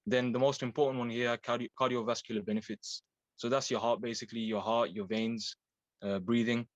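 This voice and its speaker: A man's voice: mid-range voice, flat delivery, brisk, dry recording, muffled recording. A man of twenty-one who grew up in Belgium, a Thai boxing coach giving an explanatory online talk with fillers and self-corrections. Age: 20s.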